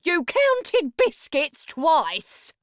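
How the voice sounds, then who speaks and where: Children's story mum, acted by a woman: high, swooping, doting, pleading, coaxing, dry close recording. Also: huge pitch swings, extremely slow, no echo, exaggerated voice. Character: doting, pleading mother coaxing her fussy son.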